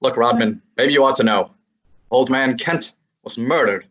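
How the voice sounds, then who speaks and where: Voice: mid-range voice, normal speed, fairly bright tone, close to an everyday voice. A young actor voicing a wisecracking male assistant detective in an old-time radio drama, with a brash, slangy delivery. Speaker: student actor. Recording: slightly dull lo-fi sound.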